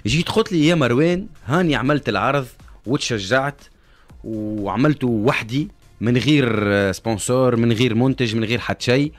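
A man's voice: medium pitch, a little fast, soft background music. A man with a Tunisian accent hosting a live radio talk show, mixing French words into his speech. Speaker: radio host. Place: radio studio. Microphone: close studio microphone on arm.

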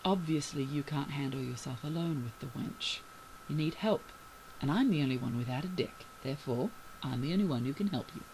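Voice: mid-range voice, lively intonation, normal speed, slight background noise, close to an everyday voice, low-quality recording. A performer voicing a headstrong, indignant woman. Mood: calm, stubborn. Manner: indignant, questioning. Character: headstrong, worried young woman, scornful when angry.

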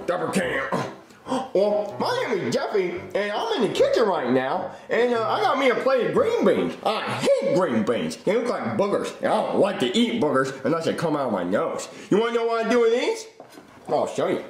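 A man's voice: high-pitched, huge pitch swings, moderate pace, roomy sound, crowd noise. A man voicing a bratty, dim, mischievous little boy puppet in a comedy skit, whiny and stubborn. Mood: mischievous, showing off. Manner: whiny, stubborn, often screaming outbursts.

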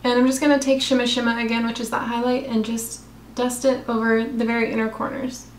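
A young woman, a makeup vlogger, chatting casually through a tutorial in her apartment, her voice a bit raspy, talking while she works. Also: on the high side, level tone, fairly quick, reverberant, a little hiss or hum. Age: young adult. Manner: chatty, self-deprecating, talks while applying makeup. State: slightly raspy voice. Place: small room in her apartment. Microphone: camera close in front of face.

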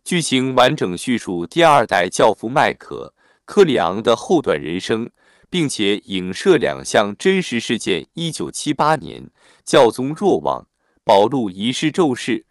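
A man's voice: mid-range voice, very animated, a little slow, no echo, studio quality. A man reading a scripted plot summary as a narrator. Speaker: film recap narrator.

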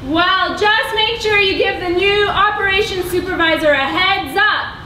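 A woman voicing a cheerful office worker puppet in an amateur show, with theatrical, drawn-out exclamations. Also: extremely high pitch, bright, forceful tone, exaggerated voice. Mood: chatty. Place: puppet stage in an auditorium, recorded from audience. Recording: echoey hall, distant camera, audience noise.

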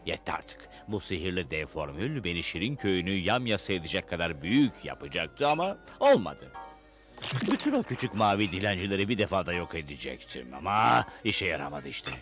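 A man's voice: low-pitched, very animated, a little fast, exaggerated voice. A man playing a grumpy, scheming middle-aged evil wizard in a cartoon dub, whining and ranting theatrically. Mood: bitter ranting. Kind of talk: animated cartoon dub, theatrical villain acting. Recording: loud cartoon music behind.

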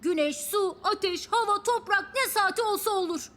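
A woman's voice: very high-pitched, normal speed, a bit bright. A woman voicing a cheeky, lazy little boy in a children's cartoon, cocky and playful.

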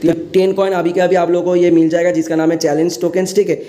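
A man's voice: high voice, level tone, fast, warm tone. A young man with an Indian accent giving a casual gaming explainer as a host, repeating himself and mixing in English words.

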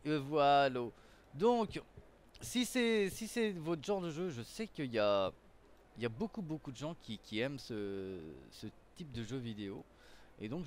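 A man hosting a gaming video with casual, rambling, playful commentary, often talking straight to the characters. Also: on the high side. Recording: music behind the voice. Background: game music.

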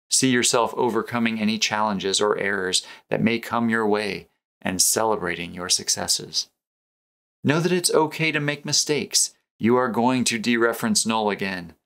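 A man speaks as a meditation guide, at a middling pitch. His delivery is measured and soothing, with earnest, unhurried instructions that lead the listener along. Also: fairly bright tone, smooth. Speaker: meditation guide, programming teacher.

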